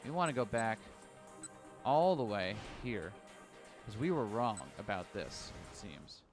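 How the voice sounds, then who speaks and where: A man streaming a game from a hot room at home, reading the story aloud and chatting loosely, his voice turning a little raspy. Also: on the low side, animated, measured pace, soft background music.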